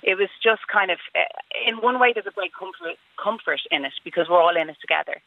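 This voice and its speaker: A woman's voice: lowish voice, animated, fast, creaky, no echo. A woman with a Dublin accent, an actress calling in to a radio show, talking chattily with many fillers. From Dublin, Ireland. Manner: chatty, rambling, lots of fillers. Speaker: actress, phone guest. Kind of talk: casual phone interview. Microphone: phone. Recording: tinny phone line.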